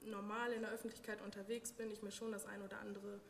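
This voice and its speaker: A woman's voice: mid-range voice, little pitch movement, fast. A woman from Germany, a native German speaker, answering as an interview guest in a casual, personal way with wry, self-deprecating humour. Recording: echoey hall reverb, faint noise.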